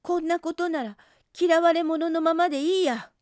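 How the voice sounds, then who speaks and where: A woman voicing a rough, sulky young male bear with a loud, gruff delivery for a children's storybook. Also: very high-pitched, moderate pace, no echo, clean studio sound, pitched above the performer's own voice. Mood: frustrated, sulky. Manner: gruff, roaring.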